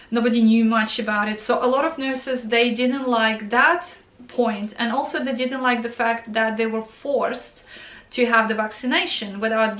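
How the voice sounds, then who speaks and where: A woman's voice: a little high, fairly bright tone, echoey room. A young woman with a Ukrainian accent who speaks English as a second language, chatting casually as a nurse answering viewer questions, with many fillers and self-corrections. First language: not English. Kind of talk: casual Q&A vlog.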